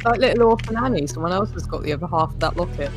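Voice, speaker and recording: A man's voice: high-pitched, lively intonation, rapid-fire. A man making dry, casual joking asides as a podcast co-host while watching a film. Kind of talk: casual chat, movie reaction commentary. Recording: film soundtrack playing loudly behind. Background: movie audio and music.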